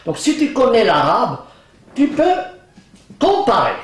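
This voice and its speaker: Brief: older man, Arabic native, lively up-and-down delivery, joking and hesitant, recorded at a distance in an echoey room. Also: extremely high pitch, unhurried. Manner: animated, joking, laughs often, many hesitations. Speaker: Quran translator being interviewed. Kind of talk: casual interview conversation. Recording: roomy, mic at a distance.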